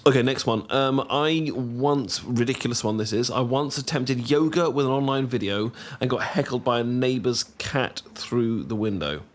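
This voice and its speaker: A young British man in an intimate boyfriend roleplay, talking casually to the listener in a teasing, affectionate, sometimes halting and bashful way. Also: medium pitch. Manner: gently teasing, playful, affectionate. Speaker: audio roleplay performer.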